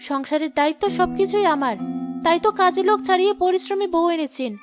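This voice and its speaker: A woman's voice: very high voice, fast. A woman voicing a hardworking, defiant young wife in a cartoon, aggrieved and protesting. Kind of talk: cartoon voice acting. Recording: music behind the voice.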